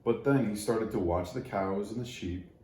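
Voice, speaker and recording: A man with a low, mellow voice reads aloud to children as a storyteller. The sound is noticeably roomy, with echo around his words. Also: normal speed, a little hiss or hum.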